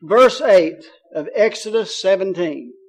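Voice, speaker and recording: A man's voice: high-pitched, lively intonation, unhurried, poor audio. A man with a Kentucky accent preaching a sermon in a folksy, joking way, with many fillers. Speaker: pastor.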